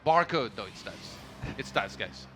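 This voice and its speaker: A man's voice: on the low side, sing-song, moderate pace, heavy background noise. A man commentating on an esports tournament in a casual, joking, self-deprecating way. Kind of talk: casual banter during StarCraft tournament commentary. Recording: music behind the voice. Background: music.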